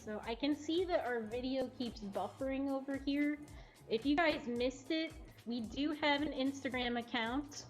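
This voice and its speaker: A woman's voice: sits high, moderate pace, faint music behind. A woman hosting a live showcase, chatting casually to viewers. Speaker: cat cafe livestream host.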